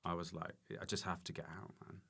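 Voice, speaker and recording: A man talking casually as an interview guest, halting and self-correcting, repeating words for emphasis. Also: deep voice, little pitch movement, gravelly, bright, forceful tone, husky. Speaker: podcast guest, stand-up comedian and former teacher.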